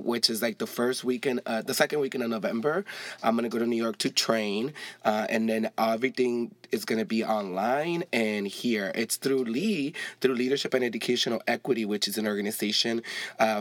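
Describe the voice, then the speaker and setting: A young man talking excitedly on his own solo podcast, chatty and casual and swearing freely. His voice sits at an ordinary pitch for a man. It is recorded close and dry. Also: no echo. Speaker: podcast host.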